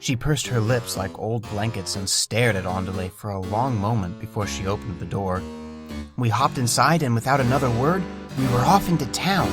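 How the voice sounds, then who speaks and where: A man narrating a scripted story in a gentle, wondering way. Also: mid-range voice.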